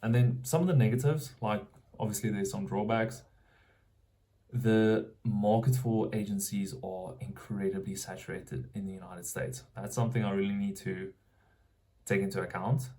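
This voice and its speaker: A man's voice: on the low side, fairly even intonation, moderate pace, mellow. A young South African man vlogging casually, thinking aloud and often restarting his sentences as he searches for words.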